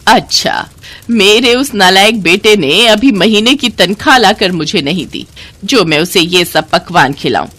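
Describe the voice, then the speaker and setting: A woman's voice: mid-range voice, expressive, a little slow, fairly bright tone, slightly rough, no room sound. A woman voicing a miserly, bossy older mother-in-law who scolds and complains in a storybook tale. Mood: stern, scolding.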